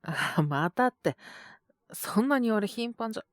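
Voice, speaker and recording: A woman voicing a gentle young male teacher who is feverish and coughing, speaking softly and tiredly. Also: on the high side, lively intonation, a little slow, dry recording, studio quality. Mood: flustered, chatting. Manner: soft, tired, mumbling, often whispered. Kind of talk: audio drama for women listeners.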